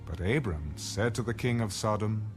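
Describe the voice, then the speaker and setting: A man's voice: lowish voice, expressive, normal speed. A man reading scripture as a scripted, dramatized audio Bible narration. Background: background music.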